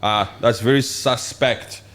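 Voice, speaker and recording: A man from Congo whose first language is Swahili, talking politics in an animated, joking way. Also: mid-range voice, slow pace. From Democratic Republic of Congo. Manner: animated, joking, bursts of loud exclamations. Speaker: show host. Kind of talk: casual political chat.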